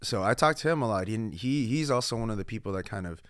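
A man's voice: lowish voice, fast talker, no echo, clean studio sound. A man in his thirties from Guam and California, telling stories in casual, slangy podcast chat as a guest. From Guam and California, born in the Philippines. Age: 30s. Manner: slangy, animated storytelling with quoted asides.

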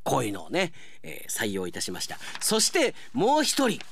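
A man hosting a radio show as announcer, talking conversationally and reading out listener mail in an animated way. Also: mid-range voice, normal speed, gravelly, slight background noise.

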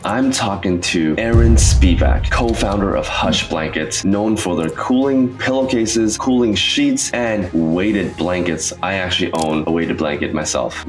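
A male podcast host with a very deep voice and an informal, upbeat delivery. He addresses his audience directly and enthusiastically, with music around his talk. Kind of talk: upbeat podcast intro and casual interview.

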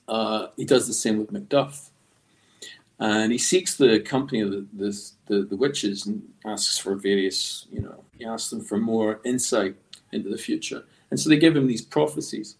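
A man talking as a guest artist on a podcast, telling stories in a halting, reflective, discursive way. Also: lowish voice, poor audio. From Scotland. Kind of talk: conversational storytelling in a podcast interview.

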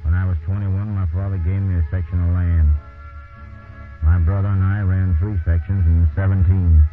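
Man acting a deep-voiced, weary Depression farmer. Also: level tone, moderate pace, music in the background, natural voice. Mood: weary, resigned. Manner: plain folksy storytelling in short phrases. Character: weary displaced Depression farmer, adult man, bitter, plainspoken. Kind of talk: 1930s radio drama acting, monologue. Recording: muffled old 1930s radio recording.

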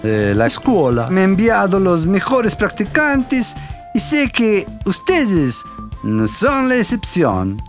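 An exacting Italian head chef in a radio drama. He speaks slowly and emphatically with a heavy swing in pitch and an Italian accent, mixing Italian into his Spanish over faint music. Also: huge pitch swings, full character voice. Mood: businesslike.

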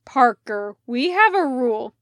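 A woman voicing a gruff, curt, crabby but soft-hearted man in a story. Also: on the high side, very animated, measured pace, no echo, clean studio sound, full character voice. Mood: growling. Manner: growling, curt, exasperated. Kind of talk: storybook character voice.

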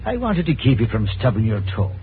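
An actor playing a gruff, loving older working-class father, blunt and worried, in a 1940s radio drama. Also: medium pitch, big pitch swings, fairly quick, slight background noise, natural voice. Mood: rueful. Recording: tinny 1940s radio recording, faint music.

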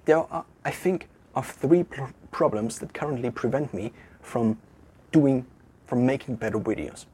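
A German-accented man talks alone to a camera in an empty room, working through his thoughts in a loose, conversational way. His voice is strongly husky, and he often stops mid-phrase to restart or correct himself. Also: mid-range voice, darkish tone. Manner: thinking aloud, halting with restarts and self-corrections. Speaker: vlogger. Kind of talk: casual reflective monologue to camera.

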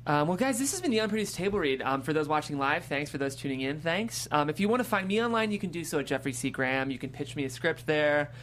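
A man's voice: on the high side, expressive, fast, few pauses, a bit bright, a little hiss or hum. A man hosting a panel show in a casual, upbeat, informal way, with frequent filler "like". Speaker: host of a table-read vodcast.

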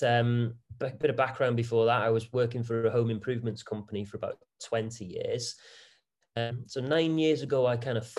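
A man talks through his property business as an interview guest. His speech is chatty and relaxed, with frequent fillers and restarts as he explains things, and his voice sits at a middle pitch for a man. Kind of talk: conversational interview answers.

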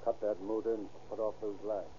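A man's voice: lowish voice, flat delivery, a little fast, dark tone, natural voice. An actor playing a curt, menacing kidnapper who poses as a deliveryman, in a 1930s radio drama style with terse, plain speech. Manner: terse, clipped orders in plain slangy speech. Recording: noisy, tinny 1930s radio recording.

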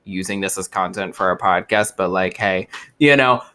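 A man co-hosting a casual podcast, talking in an animated way and joking crudely. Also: low-pitched, moderate pace, smooth. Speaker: podcast co-host.

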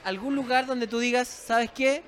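A man's voice: very high voice, steady tone, moderate pace, a bit bright. A man with a Chilean accent hosting an interview, upbeat and playful, laughing often. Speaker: interviewer, host. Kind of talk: casual interview chat.

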